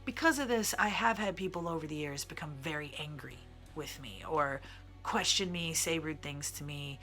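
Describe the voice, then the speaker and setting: A man's voice: fairly high pitch, normal speed, bright, forceful tone, faint music behind. A transgender man, telling personal stories to camera in a candid, digressive way with many fillers. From Midwestern United States. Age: 30s. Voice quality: feminine-sounding voice. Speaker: singer and vlogger. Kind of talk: casual personal storytelling to camera.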